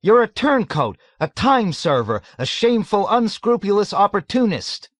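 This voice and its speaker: A man voicing an earnest, easily outraged young American man, pitched well above his own voice. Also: very animated, brisk, no room sound, studio quality, close to an everyday voice. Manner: bashful, then outraged, cries out.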